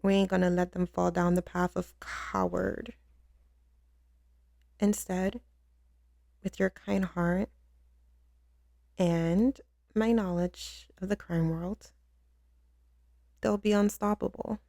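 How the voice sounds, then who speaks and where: A woman acting as a commanding female crime boss softening for a sweetheart in an ASMR roleplay. The voice is mellow and full of gravelly fry, delivered slowly and intimately. It sits close on the mic in a very dry space, with swaggering, slangy devotion. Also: fairly low pitch, level tone, dry recording. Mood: dreamy, proud. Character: tough, commanding female mafia boss, tender and doting with lover. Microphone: close studio microphone, dry.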